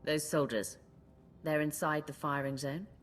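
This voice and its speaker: A firm Sith warrior. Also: female voice, sits low, steady tone, a little slow, a little hiss or hum. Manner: firm, direct, commanding. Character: stern female Sith warrior commander.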